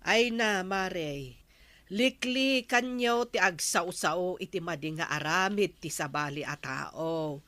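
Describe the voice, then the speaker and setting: An actress playing a chiding village neighbour, full of exclamations, with an Ilocano accent in a radio drama. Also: female voice, on the low side, slow pace, fairly bright tone, no echo. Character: village woman neighbour disapproving of gossip. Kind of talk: radio comedy drama acting.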